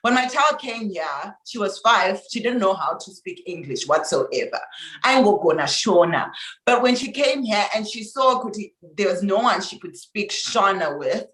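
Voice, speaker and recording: Zimbabwean woman host, effusive and eager, mid pitch, roomy echo. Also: expressive, moderate pace, a bit bright. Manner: enthusiastic, effusive. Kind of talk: casual interview chat. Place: Australia. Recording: echoey room.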